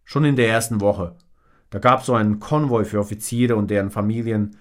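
A man acting a gruff, irritated older neighbour. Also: on the low side, steady tone, a little fast, close to an everyday voice. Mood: gruff, matter-of-fact. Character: gruff older half-naked male neighbour, irritated, then resigned.

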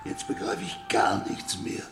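An actor playing a grumpy, gullible dungeon keeper in a children's radio play, groaning and easily baffled. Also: male voice, unhurried, whispered, lightly acted. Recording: echoey dungeon ambience with faint music.

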